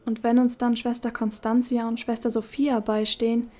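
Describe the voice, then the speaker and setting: A woman's voice: on the high side, little pitch movement, moderate pace, dark tone, natural voice. A woman playing an earnest, spirited boarding-school girl in her late teens in an audio drama. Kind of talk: German audio drama acting.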